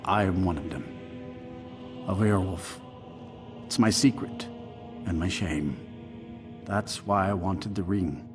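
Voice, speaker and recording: A man voicing a remorseful, cursed werewolf in a video game, speaking in a halting, brooding, confessional way. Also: low-pitched, over music. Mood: ashamed, confiding.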